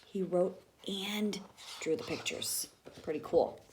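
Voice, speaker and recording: A woman reading a picture book aloud to young children like a teacher, in an expressive, dramatic storytelling manner. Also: fairly low pitch, slow, background noise. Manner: expressive, dramatic delivery with gasps and hushed asides.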